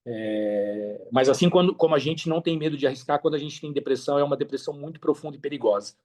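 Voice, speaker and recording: Talkative Brazilian man, slightly high voice. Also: quick pace, a little mellow. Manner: talkative, peppers speech with tag questions and slang. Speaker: podcast guest. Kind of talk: candid conversational storytelling.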